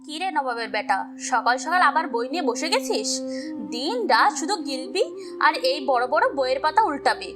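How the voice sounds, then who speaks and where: Woman voicing cartoon village stepmother, high voice, cruel, nagging, sarcastic scolding, background music. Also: lively intonation, a little fast. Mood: scornful. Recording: music under the voice.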